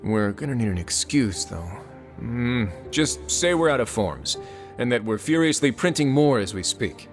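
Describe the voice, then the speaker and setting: A composed prison warden with a mid-pitched, even voice and dry wit, as a man plays him. He speaks in measured, unhurried sentences, with faint game music behind. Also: lightly acted. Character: calm authoritative male warden. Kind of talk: video game voice acting.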